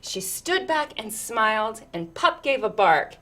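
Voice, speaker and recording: A woman's voice: on the high side, very animated, a little slow, bright, forceful tone. A woman reading a children's picture book aloud expressively, with chatty asides and questions to her listeners.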